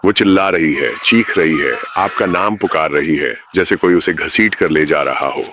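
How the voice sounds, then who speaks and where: A man's voice: a bass voice, moderate pace, muffled recording, noisy background. A man narrating a scripted horror story, speaking ominously and addressing the listener directly.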